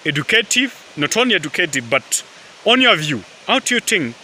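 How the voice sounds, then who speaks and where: Swahili-native male vlogger, rambling and filler-heavy, keeps saying guys, sharp edge to the voice. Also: high voice, big pitch swings, brisk, a little hiss or hum. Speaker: YouTube vlogger. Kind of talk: casual talk to camera. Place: outdoors in a forest by a river.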